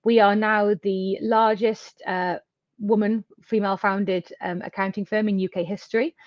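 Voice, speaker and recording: A middle-aged woman from the UK explains things in a chatty, conversational interview style. Her voice is mid-pitched, and the recording is close and dry with a slightly dull, lo-fi sound. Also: fairly even intonation, moderate pace, no room sound. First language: English. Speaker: interview guest, company founder and CEO.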